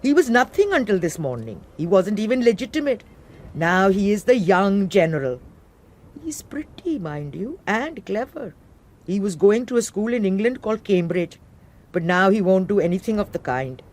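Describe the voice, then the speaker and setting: A woman's voice: medium pitch, very animated, moderate pace, darkish tone. An actress playing a sly, gossiping servant woman who mocks and teases in a radio drama. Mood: teasing. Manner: mocking, gossipy.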